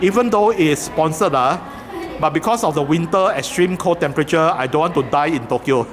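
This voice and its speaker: A man's voice: on the high side, a little fast, crowd noise. A man with a Singaporean English accent chatting hesitantly in a train station corridor, with many fillers and restarts. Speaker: vlogger filming a video shoot. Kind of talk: casual chat while filming.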